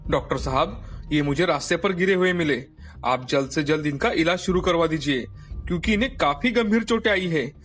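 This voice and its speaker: A man's voice: on the high side, a little fast, a bit bright, soft background music, lightly acted. A man playing an honest, humble young rickshaw driver in a storybook moral tale, speaking politely and earnestly. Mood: anxious, earnest. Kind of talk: dramatized Hindi moral story.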